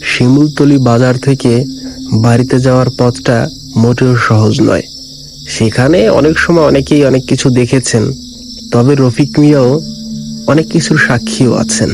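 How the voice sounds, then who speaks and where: A man's voice: medium pitch, fairly even intonation, moderate pace, long pauses, background noise. A man narrating a scripted ghost story as a storyteller.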